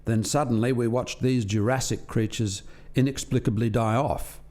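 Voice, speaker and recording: A man's voice: medium pitch, fairly even intonation, moderate pace, darkish tone, close to an everyday voice. A man playing an earnest alien scientist who explains experiments to colleagues in formal, articulate language in a radio play. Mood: matter-of-fact, explanatory.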